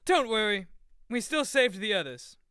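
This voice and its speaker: An actor playing an excitable, wry sidekick in an audio drama, eager and dramatic. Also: mid-range voice, moderate pace, smooth, no echo, studio quality.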